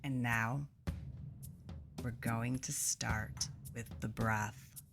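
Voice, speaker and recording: A woman leading a guided meditation in a soothing, deliberate way, pausing between phrases, her soft voice turning breathy as phrases end. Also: a bass voice, fairly even intonation. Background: instrumental music.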